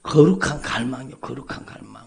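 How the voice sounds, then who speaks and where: A man's voice: medium pitch, fairly quick, vocal fry, a little mellow. A male pastor preaching an emphatic, repetitive sermon at a dawn church service, calling out often to the congregation. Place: church sanctuary.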